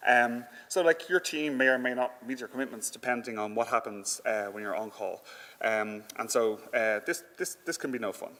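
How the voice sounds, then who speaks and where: A man's voice: mid-range voice, a bit bright. Hesitant male conference speaker in echoey hall. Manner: hesitant, conversational, frequent filler words. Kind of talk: informal conference presentation. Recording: echoey hall with long reverb.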